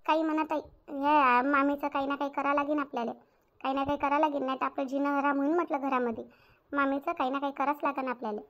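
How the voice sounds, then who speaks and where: A woman's voice: sits high, fairly even intonation, fast talker, lo-fi sound, lightly acted. A woman voicing an outspoken, grumbling young daughter-in-law in a Marathi cartoon, with a rural Varhadi accent. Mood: resentful. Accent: rural Maharashtra, Varhadi Marathi. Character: indignant young village daughter-in-law, outspoken, complaining, caring. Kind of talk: domestic cartoon story dialogue.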